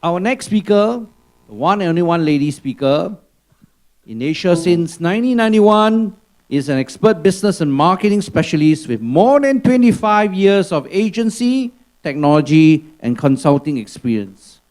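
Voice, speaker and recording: A man's voice: sits high, sing-song, moderate pace, darkish tone. A man serving as a conference emcee, formally introducing the next speaker. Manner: formal, announcing. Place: conference hall.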